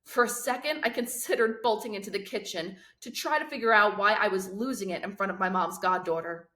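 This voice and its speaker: Female narrator, middle pitch, expressive read-aloud style, children's novel, echoey room. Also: brisk. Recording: roomy, echoing sound.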